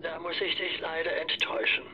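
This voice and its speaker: A man's voice: on the high side, monotone, a little fast. A man acting a sombre neighbour in a German radio drama, heard through a telephone filter. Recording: muffled phone line with clear noise.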